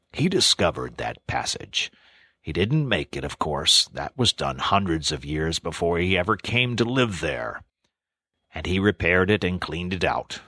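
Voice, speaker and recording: A man voicing a stern, wise old male badger in a storybook reading, severe and measured. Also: very deep voice, a bit bright, slightly rough, no room sound, exaggerated voice. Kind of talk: audiobook character voice.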